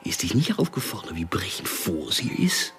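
An actor playing a cocky, slyly friendly young Dutch drug dealer in a crime radio drama, speaking German with a Dutch accent and slightly broken grammar. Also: male voice, low-pitched, sing-song, moderate pace, a bit bright, soft background music, full character voice. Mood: sly, casual.

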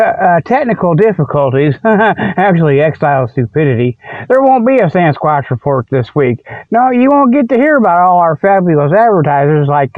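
Guy w high voice rambling thru joke radio ads, folksy, dry muffled recording. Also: no room sound. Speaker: comedy radio show host. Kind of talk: ad-libbed spoof announcements and mock ads. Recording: dull, dry lo-fi audio.

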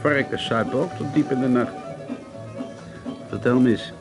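An actor playing a curious, suspicious holidaymaker who paints, in a Dutch radio drama with a conversational, inquisitive delivery. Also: male voice, mid-range voice, moderate pace, music in the background, natural voice. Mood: probing.

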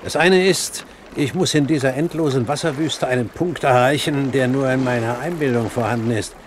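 An actor playing a commanding pirate captain in a radio play, courtly, measured and sardonic in manner. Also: male voice, mid-range voice, lightly acted. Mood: musing. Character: suave, commanding pirate captain and great-uncle. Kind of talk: German radio play acting. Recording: faint background noise.

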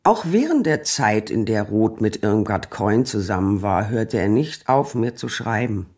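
Husky, wounded, resolute woman. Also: a bass voice, lively intonation, measured pace, darkish tone, full character voice. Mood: hurt, firm. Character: wounded, resolute woman recalling the lover who left her. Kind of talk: documentary radio play monologue.

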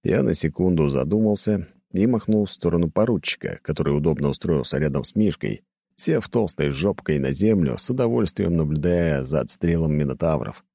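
Male narrator for audiobook fiction: low, velvety voice, close dry recording, scripted first-person storytelling. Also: expressive, a little fast, vocal fry, warm tone, no echo.

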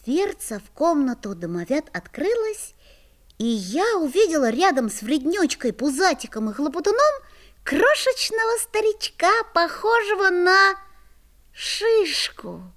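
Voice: extremely high pitch, very animated, a little slow, a bit bright, full character voice. A performer playing an eager young child who narrates and acts in a fairy-tale audio play. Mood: storytelling.

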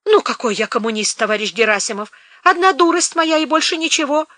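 A woman's voice: lively intonation, brisk, bright, forceful tone, dry recording, clean studio sound. A woman voicing a frightened, fawning peasant man who talks in a nervous, ingratiating way. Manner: nervous, high-pitched, ingratiating.

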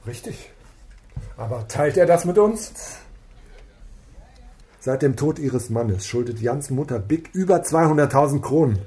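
An actor playing a stern, suspicious police superior, curt and authoritative, in a radio crime drama. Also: male voice, medium pitch, animated, unhurried, pauses often, dark tone, natural voice. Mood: grave.

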